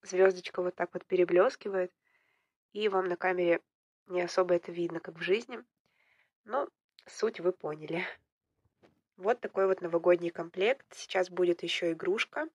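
Slightly hoarse young woman, low and dry. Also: normal speed, pauses often, no echo. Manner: relaxed, self-ironic, chatty. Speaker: vlogger, polymer clay crafter. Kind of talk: casual craft tutorial narration. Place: indoors at home, at a table.

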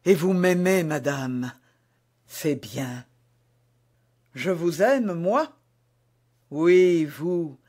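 A man's voice: on the high side, lively intonation, drawn-out words, long pauses, a little mellow, husky, heavily acted. A man reading the part of a passionate, melancholy young duke in love, ardent and pleading, in a historical romance audiobook. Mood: exultant, loving.

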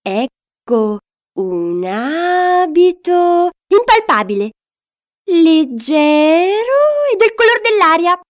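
A proud royal tailor from a fairy tale, acted by a woman reader. The delivery is showy and drawn out, very slow and high-pitched. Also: big pitch swings, exaggerated voice. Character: proud royal tailors presenting splendid gowns.

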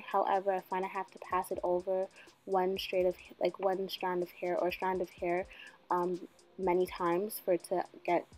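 Chatty young woman with a husky voice. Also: medium pitch, a little mellow. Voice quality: slightly husky, self-described smoker's voice. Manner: chatty and joking, fillers like "um" and "like". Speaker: beauty vlogger. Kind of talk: casual hair tutorial talk. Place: bedroom at home, indoors.

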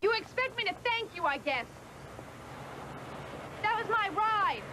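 A woman's voice: very high-pitched, big pitch swings, unhurried, noisy background, full character voice. An actress playing a feisty, guarded young woman hitchhiker in a TV-movie drama. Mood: irritated. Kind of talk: naturalistic TV-movie drama acting.

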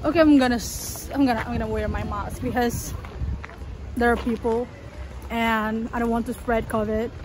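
A woman's voice: on the high side, steady tone, normal speed. A young woman vlogging outdoors on a phone at arm's length, chatting casually and laughing at herself, with a stuffy runny nose from pollen. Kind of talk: casual vlog chat to camera. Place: outdoors in a sunny city park and streets. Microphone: phone held at arm's length. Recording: loud outdoor street noise.

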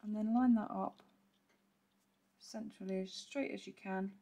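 A woman with a croaky voice, chatting through a step-by-step card-making tutorial and clearing her throat now and then. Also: medium pitch, fairly even intonation, measured pace, warm tone. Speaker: papercraft demonstrator. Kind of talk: casual step-by-step craft tutorial.

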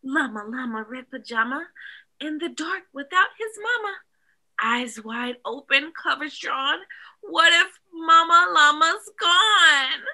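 A woman in an expressive, animated read-aloud style, speaking directly to young children as a teacher would. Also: high-pitched, slow. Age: adult.

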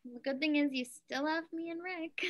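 A woman's voice: high-pitched, normal speed, natural voice. A young woman reading the part of a plucky, sardonic daughter in a play over a video call. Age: young adult. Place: room at home. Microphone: computer mic on video call.

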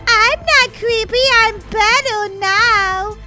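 A woman voicing a stubborn little toddler sister in a children's toy-play story, speaking in babyish, simple, deliberate phrases. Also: extremely high pitch, over music. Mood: eerily playful. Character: stubborn two-year-old little sister girl, turned creepy animatronic.

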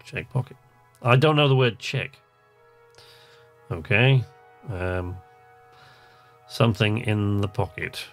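A man's voice: lowish voice, slow pace, vocal fry, long pauses, soft background music. A man giving casual let's-play commentary, chatty and hesitant as he thinks aloud and reads game text. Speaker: host of a video game channel.

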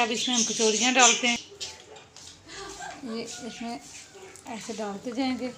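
A woman, calmly explaining a home cooking recipe step by step while she works. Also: a little high. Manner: calm, instructive, talks while working with hands. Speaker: home cooking channel host. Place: home kitchen. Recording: loud background noise, birds chirping.

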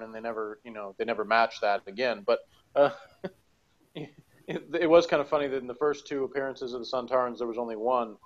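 A man's voice: mid-range voice, muffled recording. A man co-hosting a casual chat, rambling with lots of fillers and restarts. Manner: rambling, lots of "you know" and "like".

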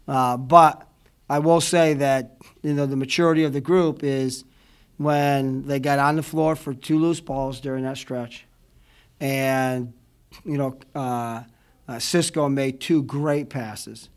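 A man in his fifties, a college basketball head coach, speaking at a postgame press conference from a table mic, rambling and heartfelt with many fillers. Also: mid-range voice. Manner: rambling, emotional, many fillers like "you know what I mean". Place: indoor press room before a logo backdrop. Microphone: table mic in front of him.

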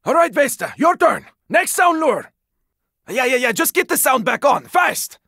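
A man playing a gruff, weary, sardonic squad fighter in a zombie video game, speaking in terse lines with a raspy voice. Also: very high-pitched, sing-song, a little slow, pushed and bright, dry recording, clean studio sound, exaggerated voice. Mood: urgent, shouting. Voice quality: raspy, gravelly. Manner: terse, urgent, swearing. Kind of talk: video game character combat barks.

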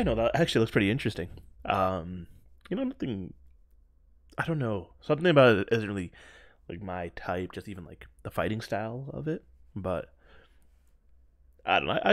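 A man's voice: fairly low pitch, no room sound, studio quality. A young man giving casual, unscripted reaction commentary in a loose, informal, hedging way. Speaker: reaction video host.

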